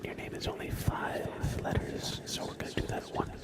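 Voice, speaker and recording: A man hosting an ASMR livestream, chatting casually with viewers in a soft, breathy half-whisper, sometimes drawing words out and repeating them. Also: moderate pace. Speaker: livestream host.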